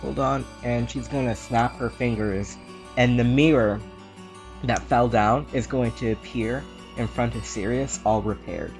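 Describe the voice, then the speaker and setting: A man's voice: mid-range voice, steady tone, a little slow, darkish tone, faint music behind. A man narrating a character's actions in a tabletop roleplaying game.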